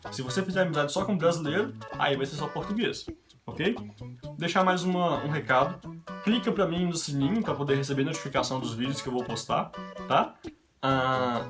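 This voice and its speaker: A young man from Brazil telling a run of everyday anecdotes to his vlog audience in a chatty, casual way. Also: on the high side. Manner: chatty anecdotal, quoting others in his own voice. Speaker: vlogger. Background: background guitar music.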